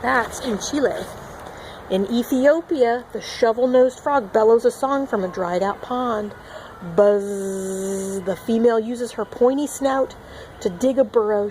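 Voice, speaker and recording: A woman's voice: fairly high pitch, measured pace, a little mellow, a little hiss or hum, rough recording. A woman reading a picture book aloud to young children as a teacher, expressively, with simple asides and acted-out sound words.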